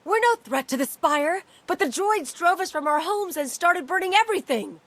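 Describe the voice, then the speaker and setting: A frightened high-voiced refugee. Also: female voice, lively intonation, normal speed, a bit bright, dry recording. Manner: shaken, urgent. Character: frightened young female refugee. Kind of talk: video game cutscene acting.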